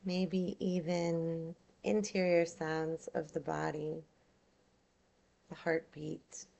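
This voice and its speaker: A woman yoga nidra instructor leading a guided meditation in a soothing, unhurried, gentle manner, leaving long pauses. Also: sits low, little pitch movement, vocal fry.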